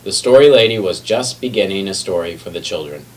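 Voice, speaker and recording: Male storyteller, fairly deep, expressive children's read-aloud, small stumbles, distant miking, light background noise. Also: brisk. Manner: expressive, with stumbles and self-corrections. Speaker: teacher reading a picture book aloud.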